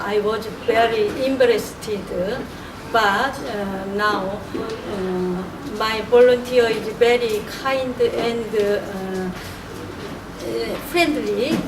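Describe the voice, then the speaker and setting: A woman speaks very slowly in halting, simple English as a second language. She is away from the mic in an echoey space, with noise behind her. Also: fairly high pitch, distant mic. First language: not English. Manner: halting, simple non-native phrasing. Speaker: programme participant.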